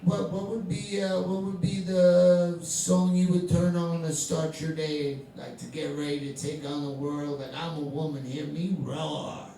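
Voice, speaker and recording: Halting comedy host in an echoey club. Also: mid-range voice, steady tone, dark tone, far from the mic, slight background noise. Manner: halting, repeats words. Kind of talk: stand-up crowd work.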